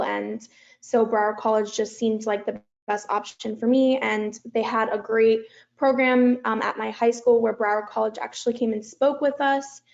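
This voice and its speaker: A woman's voice: a little high, gravelly, darkish tone, muffled recording. A woman answering a panel question as a transfer student, casual with frequent ums.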